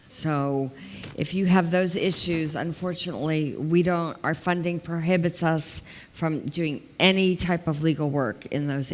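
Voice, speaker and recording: Woman, 50s, elder law attorney, big slow voice, lower pitch, long hall reverb on a headset mic. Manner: plain-spoken, explains step by step with examples. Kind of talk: community talk. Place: large hall, indoors.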